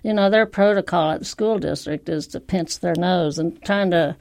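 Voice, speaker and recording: A woman's voice: fairly low pitch, normal speed, a little mellow, no room sound. Confident woman in a casual interview. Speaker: inventor and small business owner. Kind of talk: interview soundbites.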